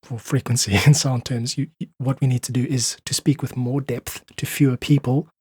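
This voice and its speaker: A man's voice: medium pitch, flat delivery, normal speed, darkish tone, slightly rough, no echo, clean studio sound. A South African man speaking earnestly and articulately as an interview guest, explaining his ideas in conversation. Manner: earnest, articulate, uses analogies. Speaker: podcast guest, independent musician.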